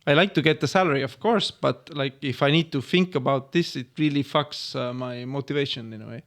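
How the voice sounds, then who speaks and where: A man hosting a podcast, chatting casually in a halting way full of fillers. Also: mid-range voice, fairly bright tone. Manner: halting, filler-heavy phrasing with many "like"s. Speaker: podcast host. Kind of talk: casual chat. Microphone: studio podcast microphone.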